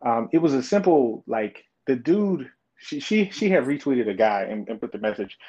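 A man's voice: mid-range voice, big pitch swings, normal speed, dark tone, slightly rough. A man in his twenties, a podcast guest, speaking thoughtfully and hesitantly with many fillers. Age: 20s. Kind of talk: casual interview chat. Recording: slightly dull lo-fi audio.

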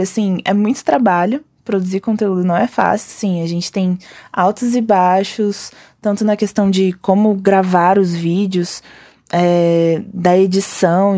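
A woman's voice: medium pitch, dark tone. A Brazilian woman, an online video creator, talking informally and earnestly about her own work.

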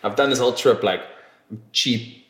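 A man's voice: medium pitch, normal speed, fairly bright tone, echoey room. A man telling a travel story in casual podcast chat, rambling with fillers and restarts and swearing casually.

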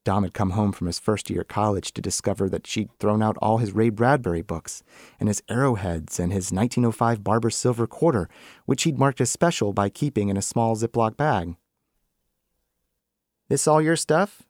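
A man reads literary fiction aloud in a low voice. The sound is dry, with very little room around it, and the delivery is that of an author reading a short story. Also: a little fast, darkish tone, dry recording.

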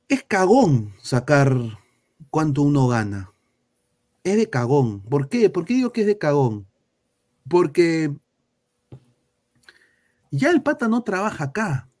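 A man's voice: a little high, darkish tone. Peruvian man, football talk host, blunt, crude, heated rant. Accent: Peruvian Spanish. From Peru.

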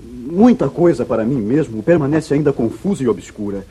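Casting: wronged wayward son, Brazilian accent, very raspy, animated and earnest, 1950s radio melodrama. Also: male voice, medium pitch, normal speed. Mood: anguished, confused. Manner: emotional, dramatic, earnest. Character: anguished, falsely accused young wayward son. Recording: muffled vintage radio drama recording.

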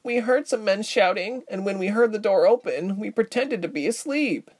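A man's voice: sits high, animated, normal speed, breathy edge, voice pushed up. A man voicing a timid, wistful young woman prisoner in a story. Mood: timid, worried.

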